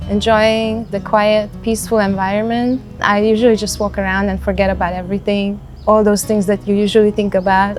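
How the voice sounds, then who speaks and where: Woman, thirties, Hungarian accent, mid-pitched voice, reflective unhurried interview talk, soft music behind. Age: 30s. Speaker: interviewee in a portrait documentary. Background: background music.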